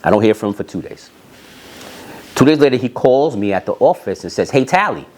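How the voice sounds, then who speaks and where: A man with a medium-pitched voice tells a story with very lively, swinging intonation. He is emphatic, repeats phrases to drive them home, and slips into reported dialogue as he goes. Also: a little fast. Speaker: storyteller, music industry executive. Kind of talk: animated anecdotal storytelling.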